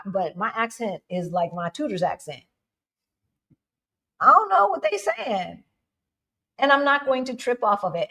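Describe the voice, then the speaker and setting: A woman from the United States co-hosting a livestream, talking casually and with energy, laughing often and calling her viewers "y'all". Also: mid-range voice. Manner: animated, laughing, addresses audience as y'all. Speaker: livestream co-host.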